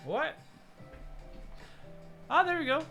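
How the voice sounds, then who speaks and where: A man's voice: extremely high pitch, big pitch swings, gravelly, sharp tone. Rambling middle-aged man streamer over music. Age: 40s. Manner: halting, rambling, repeats words, chuckles. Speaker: live streamer. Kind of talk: casual chat with stream viewers.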